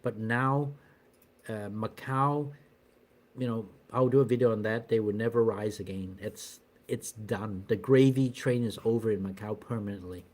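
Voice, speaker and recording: A man's voice: on the low side, steady tone, normal speed, darkish tone. A middle-aged man hosting a live stream, chatting casually with viewers in a rambling way, with many fillers and restarts. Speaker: channel host doing a live stream.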